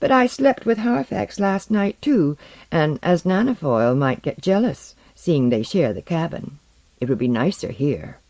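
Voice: medium pitch, lively intonation, a little slow, darkish tone, dry recording. A narrator voicing a serene, innocent, flirtatious woman crewmate in a light, coaxing manner.

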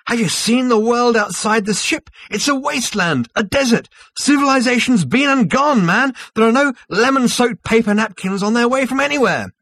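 A man's voice: fairly high pitch, sing-song, normal speed, breathy edge, no echo, voice pushed up, heavily acted. A man voicing a hotheaded, sullen male space rogue, slangy and indignant, in a science fiction storybook. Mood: outraged.

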